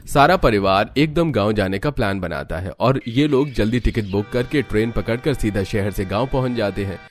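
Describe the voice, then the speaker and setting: A man narrating a cartoon story in an even, storytelling manner. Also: fairly low pitch, normal speed, soft background music.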